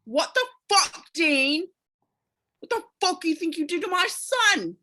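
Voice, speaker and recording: A woman voicing a frantic, worried working-class mother in a table read, loud and scolding. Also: very high-pitched, animated, measured pace, pitched above the performer's own voice, heavily acted. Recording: dull lo-fi home mic.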